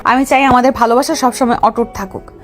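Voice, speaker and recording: A woman's voice: a little high, fairly even intonation, quick pace, faint music behind, close to an everyday voice. A woman playing a feisty but loving young bride in an audio love story. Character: feisty, loving young bride, older than her groom.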